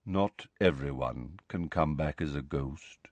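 Guy doing a sad polite ghost gentleman, slow n quiet, kinda low, sighing and resigned, audiobook style. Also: animated, dry recording. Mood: quiet, mournful. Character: mournful, resigned, courtly male ghost, gentle and evasive. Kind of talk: audiobook character voice.

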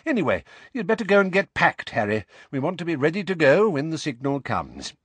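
A man voicing a mild, courteous wizard mentor in a storybook, calm and explanatory in manner. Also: fairly high pitch, a little fast, slightly rough, dry recording, voice pushed up, heavily acted. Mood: practical.

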